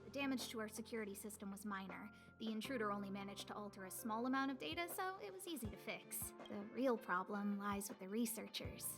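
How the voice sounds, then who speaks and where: A woman's voice: medium pitch, fast, few pauses, lightly acted. A woman playing an earnest young space station leader in a video game, speaking in a formal, articulate way. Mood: concerned. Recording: faint music behind the voice.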